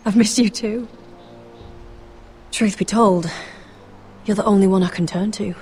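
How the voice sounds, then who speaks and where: Over some music, a woman plays a young fantasy game noblewoman with a medium-pitched voice. She is proud and determined, intense and pleading in her delivery. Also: steady tone, takes it slowly, darkish tone, close to an everyday voice. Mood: anxious, warm. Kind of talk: video game dramatic acting.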